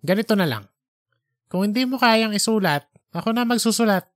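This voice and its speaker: A man's voice: sits high, expressive, fairly quick, no echo, studio quality, pitched above the performer's own voice. A man voicing an exasperated newspaper editor who questions sharply while holding back his irritation, in an audiobook story. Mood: exasperated, stern. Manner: restrained irritation, pointed questioning.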